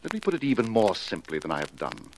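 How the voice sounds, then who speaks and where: A scheming male stage mystic in an old radio thriller. The voice is very low yet moves about theatrically, with formal, insinuating phrasing. Noticeable noise runs through the vintage recording. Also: wildly swooping pitch, fast talker, exaggerated voice, poor audio. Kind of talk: 1940s radio mystery acting.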